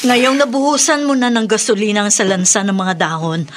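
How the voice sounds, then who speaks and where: A woman's voice: mid-range voice, fairly even intonation, a little fast, background noise, close to an everyday voice. A woman acting a resolute, earnest aunt in a horror radio drama, with a Filipino accent. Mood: tense, resolute. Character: resolute aunt leading fight against monsters.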